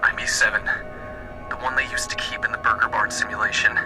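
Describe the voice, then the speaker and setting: A man playing a weary captive test subject in a video game, confiding in a halting, stammering monologue. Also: fairly low pitch, flat delivery, over music, natural voice, low-quality recording. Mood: haunted, determined.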